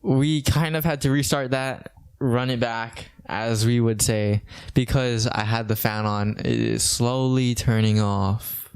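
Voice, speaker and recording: A young man in his late teens from Hawaii hosts a laid-back podcast. He talks in a flat, monotone way, rambling and restarting his sentences. His voice is smooth and clear. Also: mid-range voice, unhurried, hardly any pauses. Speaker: podcast host. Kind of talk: casual podcast chat.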